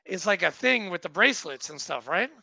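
A man hosting a podcast, chatty and quick to jump in with asides. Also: sits high, very animated, gravelly, bright, forceful tone. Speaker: podcast host. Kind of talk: casual interview chat.

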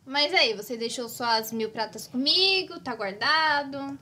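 A woman's voice: high-pitched, unhurried, sharp tone, heavily acted. A woman voicing a teasing, bossy young girl in a Brazilian children's cartoon, exclaiming and scolding. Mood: bright. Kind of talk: children's cartoon comedy dialogue.

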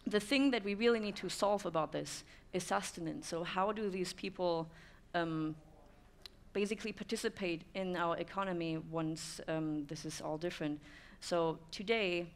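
A woman's voice: fairly low pitch, moderate pace. A German woman in her thirties giving a conference talk to an audience, thoughtful, with many "um" fillers. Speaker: speaker. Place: dim stone-walled room with audience.

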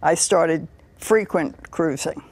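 A woman's voice: deep voice, slow, no echo. A woman in her eighties, blunt and feisty, interviewed aboard a cruise ship. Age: 80s. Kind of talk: casual interview.

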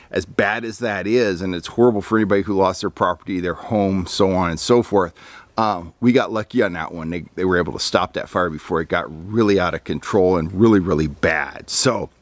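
A man's voice: fairly low pitch, dry recording. Host type, man in his 50s, casual fishing-guide report, dry close sound, filler-heavy delivery, outdoors on a deck. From California. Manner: rambling, frequent ums and restarts, lively on recommendations. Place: outdoor deck among pine trees.

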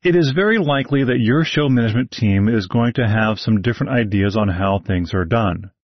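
A husky-voiced man with a somewhat low pitch delivers a scripted podcast talk, direct and advice-giving with dry, wry asides. The recording is dull and lo-fi, close and dry with no room sound. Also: a little fast, no room sound. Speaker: podcast host, horse show announcer.

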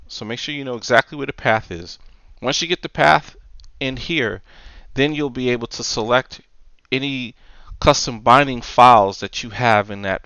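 A man with a fairly low, smooth voice walks through a software tutorial step by step. He explains things off the cuff, pausing and repeating words as he goes. The recording is close and dry, with a slightly dull top end. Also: no room sound. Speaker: tutorial presenter. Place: indoors, dry voice-over for screen recording.

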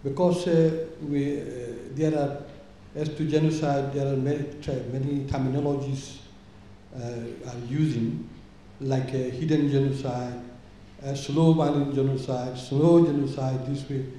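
A man asking a question from the audience, halting as he searches for words. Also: medium pitch, fairly even intonation, warm tone. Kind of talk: question from the floor. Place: lecture hall audience seats. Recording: very echoey hall, faint noise.

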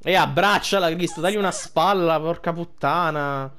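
A man's voice: on the high side, moderate pace, a bit bright, a little hiss or hum. A young adult man giving casual, colloquial, emotionally invested game commentary, with some swearing. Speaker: game streamer.

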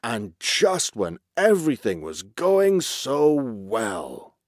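Man doing a loud, blustery old walrus chief. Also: mid-range voice, huge pitch swings, takes it slowly, no room sound, clean studio sound, exaggerated voice. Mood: bewildered. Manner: grunting, blustery, pompous. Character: big, bad-tempered old male walrus chief, self-satisfied.

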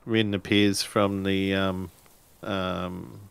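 A man narrates a tabletop role-playing story in a low voice. He goes slowly and haltingly, stopping mid-sentence as he makes it up, and the sound is dry. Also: level tone, gravelly, clean tone, dry recording. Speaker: tabletop role-play game storyteller or player. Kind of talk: improvised game narration.

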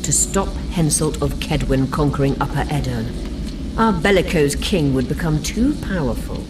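Woman playing an assured, low-voiced political informant. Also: lightly acted. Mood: assured, grave. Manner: measured, declarative. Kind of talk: fantasy video game dialogue. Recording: distant, heavy noise behind.

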